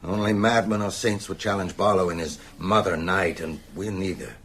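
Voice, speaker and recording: A man's voice: deep voice, steady tone, moderate pace, fairly bright tone, breathy edge, heavily acted. An actor playing a bedridden, shrewd man who urges on vampire hunters with emphatic, oratorical speech in a radio drama. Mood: grave, brooding. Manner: emphatic, rhetorical, oratorical delivery. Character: bedridden male vampire hunter, shrewd, commanding, urgent strategist.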